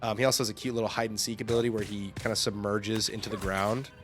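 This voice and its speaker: A young man hosting a casual livestream, rambling and laughing often, his voice getting hoarse from talking. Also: on the low side, flat delivery, quick pace, faint music behind. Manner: chatty, rambling, laughs often, lots of fillers. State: losing his voice, throat-clearing. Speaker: livestream host. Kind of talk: casual game overview chat.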